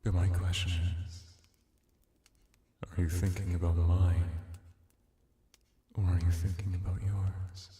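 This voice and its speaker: Casting: male actor as domineering vampire hypnotist for roleplay ASMR, dark mellow voice, hushed and teasing, drenched in echo. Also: low-pitched, flat delivery. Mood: teasing, commanding. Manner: slow, hushed, often dropping into whispers; teasing, commanding, condescending. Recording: very echoey, distant-sounding voice.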